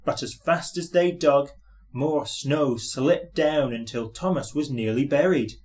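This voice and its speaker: Slow-paced male storybook narrator. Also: mid-range voice, darkish tone.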